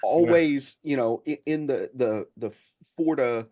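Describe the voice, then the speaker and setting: A man hosting a casual chat, feeling his way through sentences in a halting delivery. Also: mid-range voice, warm tone. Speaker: podcast host.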